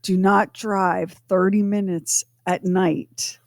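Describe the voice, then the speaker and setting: A woman hosting a casual talk, bantering and asking questions with wry, deliberate emphasis. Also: lowish voice, little pitch movement, dry recording, studio quality. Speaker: show host.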